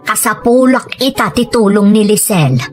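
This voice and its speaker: A woman's voice: medium pitch, expressive, moderate pace, soft background music, natural voice. A woman playing a pleading, questioning mother who is struggling, in a family drama. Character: pleading, self-concerned adult daughter, a struggling mother. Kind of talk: dramatized Ilocano family story.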